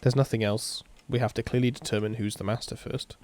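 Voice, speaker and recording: A man's voice: mid-range voice, fairly even intonation, brisk, darkish tone, natural voice. A man voicing a stubborn, indignant young female mage from a visual novel, insistent and demanding.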